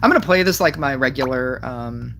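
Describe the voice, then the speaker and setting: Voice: medium pitch, lively intonation, a little fast, vocal fry, fairly bright tone, a little hiss or hum. A game streamer chatting casually over mobile gameplay, giggly and rambling with lots of fillers. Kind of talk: casual gameplay commentary with chat.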